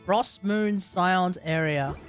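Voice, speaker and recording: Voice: medium pitch, slow pace. Aussie streamer, casual thinking-aloud commentary, faint game music behind. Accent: Australian. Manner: chatty, muttering asides, reads text aloud.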